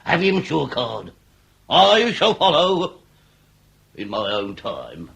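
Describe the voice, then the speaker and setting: An actor playing a middle-aged Victorian police inspector, pompous and grandiloquent, in a period radio comedy drama. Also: male voice, medium pitch, huge pitch swings, creaky, fairly bright tone, breathy edge, full character voice. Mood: scornful, commanding. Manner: grandiloquent, deliberate, commanding.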